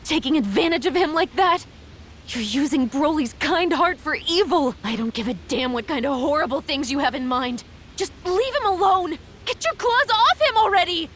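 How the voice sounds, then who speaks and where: A feisty young alien soldier woman has a high, animated voice. She speaks bluntly and with open emotion, protective and quick to react, with music behind her. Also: a little hiss or hum. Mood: furious. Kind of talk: anime video game cutscene acting.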